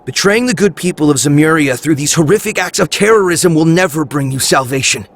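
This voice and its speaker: An actor playing an earnest, resolute young hero in a video game, speaking in solemn, heartfelt appeals. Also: male voice, fairly high pitch, lively intonation, a little fast. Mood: solemn, pleading.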